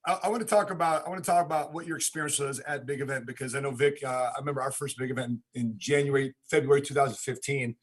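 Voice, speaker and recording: A man's voice: mid-range voice, fairly even intonation, fast, hardly any pauses. An American man hosting a podcast, talking casually and repeating phrases for emphasis. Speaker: podcast host.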